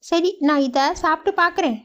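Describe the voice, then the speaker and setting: A woman's voice: very high voice, fairly quick, dull audio, over loud music. A woman voicing a fussy young city girl visiting a village in a cartoon. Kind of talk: cartoon voice acting.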